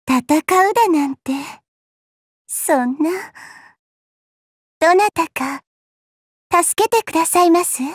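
A woman playing a petite, elegant, coy and haughty goddess in a mobile game, speaking softly with refined, condescending politeness. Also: extremely high pitch, expressive, takes it slowly, long pauses, dry recording, clean studio sound, exaggerated voice. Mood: feigned helplessness. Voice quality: soft, breathy. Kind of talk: Japanese mobile game character voice lines.

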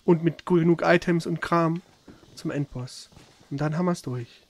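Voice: mid-range voice, a little slow, slight background noise. A gamer giving casual let's play commentary, grumbling and swearing in a slangy way.

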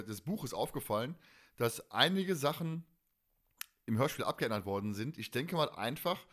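Man, forties, podcast host, casual chat, very varied pitch, enthusiastic. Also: fairly bright tone. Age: 40s.

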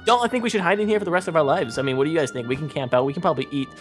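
Guy doing a bossy hyper kid in a minecraft roleplay, high slangy voice, music behind him. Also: racing, natural voice. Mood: joking, chatty. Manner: excitable, slangy, rambling. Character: excitable bossy schoolboy, loyal friend in a monster daycare.

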